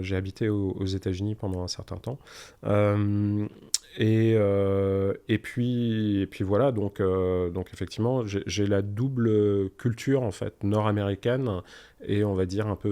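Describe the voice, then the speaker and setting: A man in his forties, originally from Canada, talks as a guest in a relaxed interview. His voice sits fairly low. He keeps it informal, reaching for examples and often restarting his sentences as he explains. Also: unhurried, clear, resonant tone. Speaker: startup cofounder guest. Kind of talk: casual conversational interview.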